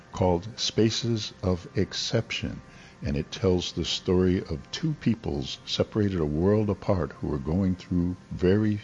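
A man's voice: deep voice, a little mellow, slightly rough, muffled recording. A man hosting a live radio interview show, speaking to his guests and listeners. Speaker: radio host.

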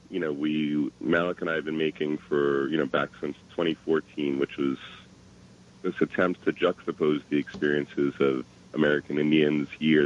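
Male interview guest, extremely low voice, conversational and a bit hesitant, lo-fi broadcast sound. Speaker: interview guest, documentary filmmaker.

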